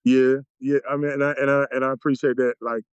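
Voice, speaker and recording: A man hosting a podcast interview, supportive and halting, with many fillers and restarts. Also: mid-range voice, flat delivery, clean tone, no room sound. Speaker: podcast host. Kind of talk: casual interview chat. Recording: dull, dry close-mic audio.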